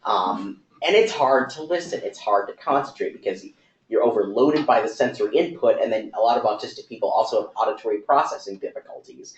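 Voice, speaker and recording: A man's voice: fairly low pitch, animated. Man explaining at length, echoey room. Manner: thoughtful, rapid run-on explaining with fillers. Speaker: talk speaker. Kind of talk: conversational talk. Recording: echoey room, dull lo-fi sound.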